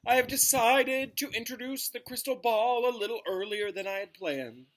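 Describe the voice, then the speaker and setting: A man voicing a theatrical, dreamy woman fortune-telling teacher in a misty, drawn-out voice for a storybook read-aloud. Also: very high voice, a bit bright, pitched above the performer's own voice, poor audio. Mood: dreamy, grand. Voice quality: misty, breathy delivery. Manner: drawn-out, dramatic, airy.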